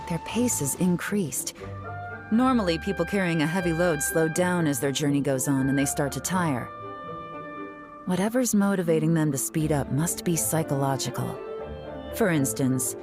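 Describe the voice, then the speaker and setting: Low-voiced, cool, confident tracker. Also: female voice, measured pace. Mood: analytical, focused. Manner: composed, assured, lightly teasing. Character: cool, confident woman investigator and expert tracker. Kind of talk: scripted video game dialogue. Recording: game music behind the voice.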